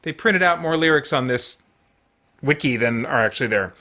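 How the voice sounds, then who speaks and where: A man's voice: medium pitch, expressive, moderate pace, pushed and bright. Man, livestream host, casual chat, rambling delivery, self-deprecating.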